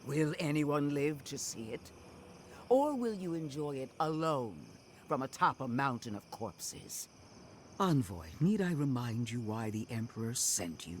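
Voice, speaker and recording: A stern, menacing military commander, swooping delivery. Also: male voice, mid-range voice, very animated, measured pace, slight background noise. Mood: scornful. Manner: formal, menacing, oratorical. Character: stern, contemptuous male military commander, threatening and self-righteous. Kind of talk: dramatic game cutscene acting.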